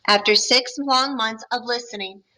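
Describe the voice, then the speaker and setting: Brief: teacher at story time, bright, slightly high, expressive read-aloud. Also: female voice, moderate pace, a little hiss or hum, poor audio. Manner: expressive, chatty asides to listeners.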